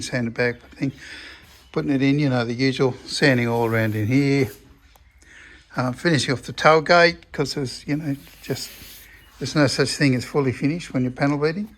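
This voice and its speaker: An Australian man talks his way through a day's work in his shed, his voice croaky and a little rough. He rambles in a relaxed, chatty way and pokes fun at himself. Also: mid-range voice. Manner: rambling, chatty, self-deprecating. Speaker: car restoration vlogger. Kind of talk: casual workshop commentary. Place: home shed.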